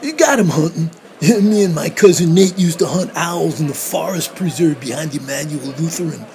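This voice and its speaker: Goofy, bragging male fast-food manager for audio play: high-pitched, swooping, slangy slacker delivery. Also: animated, darkish tone, slight background noise. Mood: boastful, amused. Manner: bragging, laid-back slang.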